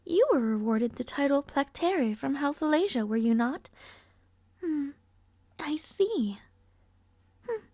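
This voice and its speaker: A woman's voice: on the high side, darkish tone, dry recording. A woman playing a rude, arrogant but somewhat shy young princess in an audio roleplay, speaking in a formal, languid, world-weary way. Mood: curious, musing. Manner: haughty, languid, sighing, formal wording. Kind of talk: scripted audio roleplay acting, addressing the listener. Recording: close, dry, no ambience.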